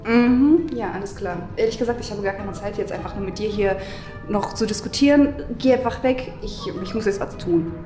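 Woman acting a deadpan, gloomy teenage girl. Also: medium pitch, normal speed, reverberant, soft background music, natural voice. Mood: uneasy. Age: young adult. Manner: flat, deadpan, disdainful. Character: gloomy, emotionless teenage girl who scorns feelings. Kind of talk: scripted web parody acting.

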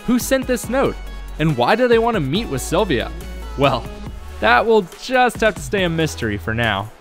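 A young man narrating a casual vlog in a wry, self-deprecating way. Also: a little high. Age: young adult. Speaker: vlogger, game developer.

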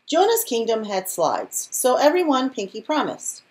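A woman reading a children's picture book aloud in an expressive storytelling style. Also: a little high, moderate pace. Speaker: storybook reader.

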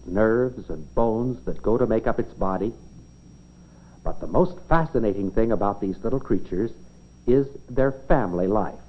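An American man reads scripted 1950s educational film narration, explaining things simply like a storyteller for children. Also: medium pitch. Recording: dull, band-limited 1950s film soundtrack.

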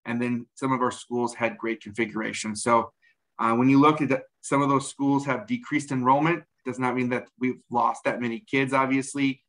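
Forties male administrator, steady explanatory delivery with many fillers, webcam audio from home. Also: mid-range voice. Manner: measured, frequent um and uh fillers. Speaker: school district administrator. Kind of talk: meeting report. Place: home room, indoors. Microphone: video call webcam.

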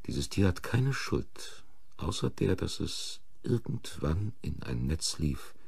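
A brooding, defensive accused man in a German crime radio play. His very deep voice is gravelly and recorded dry and close. He sounds bitter and sardonic. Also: big pitch swings, slow, a little mellow, no room sound, full character voice. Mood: bitter, resigned. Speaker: actor.

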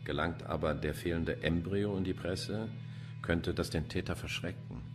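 A very low-pitched actor playing an older male pathologist in a crime radio play, sardonic and pompous. He talks with dry, theatrical relish, and music plays behind him. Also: steady tone, normal speed, natural voice. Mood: cynical, dry. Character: sardonic, cynical, poetry-quoting male forensic pathologist. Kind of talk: German crime radio drama acting.

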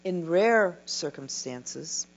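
An older woman giving a keynote lecture from a podium, reading a prepared talk in a slow, measured way. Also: on the low side, expressive. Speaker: keynote speaker. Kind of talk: scripted conference lecture. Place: dim lecture hall at a podium. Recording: dull lo-fi recording with mains hum.

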